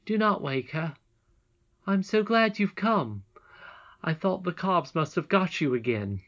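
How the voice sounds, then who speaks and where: A woman voicing a kindly country mother in a storybook, caring and gently admonishing. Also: fairly low pitch, animated, measured pace, muffled recording, voice pushed up. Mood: hushed relief.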